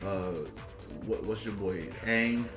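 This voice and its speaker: A man's voice: fairly low pitch, fairly even intonation, takes it slowly. A man co-hosting a casual podcast, arguing his point while thinking aloud, with rhetorical questions. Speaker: podcast co-host. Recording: roomy echo, music behind. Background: music.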